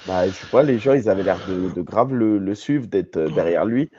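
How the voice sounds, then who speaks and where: A French man co-hosting a podcast argues his case with insistence, nasal from a cold. His voice sits warm and mellow. The recording sounds dull and lo-fi. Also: low-pitched, lively intonation, moderate pace, slightly rough, no echo. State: has a cold, coughing. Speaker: podcast co-host. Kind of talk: casual podcast debate.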